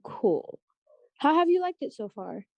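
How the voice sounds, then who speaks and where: A woman's voice: high voice, sing-song, a little slow, creaky, no echo, highs cut off, heavily acted. A young woman playing a friendly, chatty teenage team PR girl in a table read. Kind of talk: table read of a scripted pilot.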